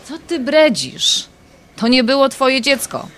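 A woman plays an indignant, desperate married woman in an audio drama. Her fairly high voice rises and falls sharply as she defends herself against an ex-lover in exasperation. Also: sing-song, takes it slowly, fairly bright tone, full character voice. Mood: defensive, cold. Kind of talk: audio drama acting, dialogue.

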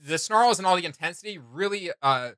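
Male host, thirties, high-pitched and forceful, excitable casual reaction chat, dry close room sound. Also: fairly quick, no echo. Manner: enthusiastic, emphatic. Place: small home room with computer desks, indoors.

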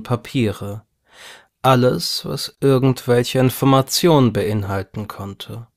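A man narrates a horror audiobook in the first person. His voice sits at a middle pitch and follows a prepared script.

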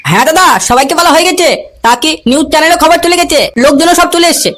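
A performer voicing an eager sidekick of a village leader in a comic cartoon, rallying and deferential, with a pitched-up voice. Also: fast, fairly bright tone. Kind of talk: comic cartoon skit dialogue.

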